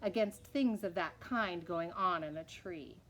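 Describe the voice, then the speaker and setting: A woman's voice: lowish voice, unhurried, fairly bright tone, a little hiss or hum. A woman voicing a haughty, bossy mayor's wife from a storybook, scolding and disapproving. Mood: indignant. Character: haughty, indignant small-town mayor's wife, bossy complainer.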